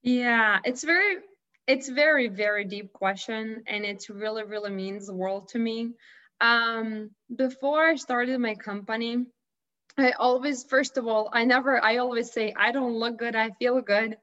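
A woman's voice: a little high, a little slow, fairly bright tone. A woman from Lithuania with a Lithuanian accent, a guest on a remote interview who speaks in an upbeat, earnest way, often restarting her sentences. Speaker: guest, holistic health consultant. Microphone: video call. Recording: dull lo-fi video call audio.

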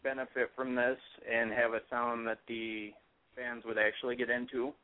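A man's voice: medium pitch, flat delivery, moderate pace. A man with a Minnesota accent calling in to a radio interview, easygoing and a bit hesitant, chuckling now and then. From California. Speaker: guest, music promoter. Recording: tinny phone line.

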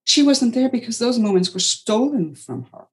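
A woman voicing a protective older caretaker who speaks firmly and chides gently. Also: fairly high pitch, very animated, moderate pace, pitched above the performer's own voice. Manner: firm, chiding, motherly.

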